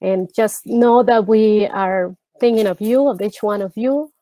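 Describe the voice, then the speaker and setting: A middle-aged woman chairing a school board meeting from home over a video call, speaking earnestly with halting restarts. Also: mid-range voice, mellow. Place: home room with brick wall. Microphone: earbud headset on video call.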